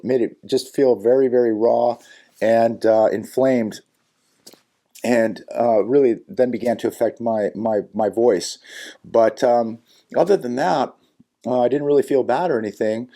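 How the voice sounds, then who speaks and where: Man, hoarse and raspy, middle pitch, solo vlog host, earnest warnings, lots of uhs and restarts. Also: dark tone. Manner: earnest, cautionary, with frequent fillers and repeated words. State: hoarse, raspy voice from sinus infection. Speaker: channel host and economy commentator.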